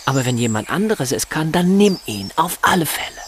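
An actor in a German radio drama playing a bitter, sardonic husband in a wheelchair who lectures and rationalizes with emphasis. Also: male voice, on the high side, sing-song, a little fast, noisy background. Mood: bitter, insistent.

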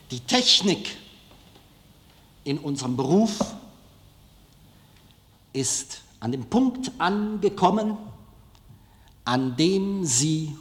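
A man giving a public lecture in a deliberate, halting way with dramatic pauses. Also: medium pitch, fairly bright tone. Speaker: film director giving a lecture. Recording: echoey hall, long reverb.

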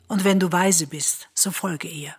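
A devout older confidante speaks very slowly in a husky, rather low voice. She is solemn and formal, in the manner of a classical tragedy on radio. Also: steady tone. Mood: solemn, hopeful.

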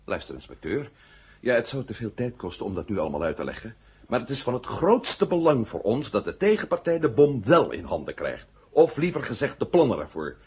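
An actor playing an urgent, persuasive adventurer-investigator in a radio drama, explaining things emphatically and insistently. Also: male voice, mid-range voice, huge pitch swings, fairly quick, a little mellow, slightly rough, full character voice. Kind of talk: 1970 Dutch radio drama acting. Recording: muffled 1970 radio play recording.

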